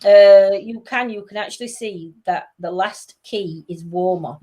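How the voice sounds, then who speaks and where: A woman's voice: medium pitch, unhurried, warm tone. A woman hosting a casual livestream chat, reading comments and laughing often. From Wales. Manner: chatty, laughing often, reads comments aloud. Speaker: livestream host.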